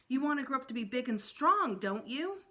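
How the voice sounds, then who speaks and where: A woman's voice: sits high, sing-song, normal speed, fairly bright tone, pitched above the performer's own voice. A woman voicing a worried mother of young boys in a storybook, coaxing and imploring.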